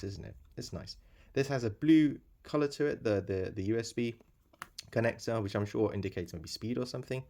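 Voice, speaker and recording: Guy with a lowish voice doing a casual unboxing, rambles and thinks out loud, repeats himself. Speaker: product reviewer.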